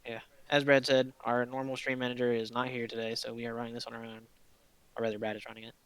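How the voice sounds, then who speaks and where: A man's voice: mid-range voice, flat delivery, fast talker, clear, resonant tone, dry recording, low-quality recording. A young man co-casting an esports match, teasing and easygoing. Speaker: esports co-caster. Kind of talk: casual pre-game esports commentary.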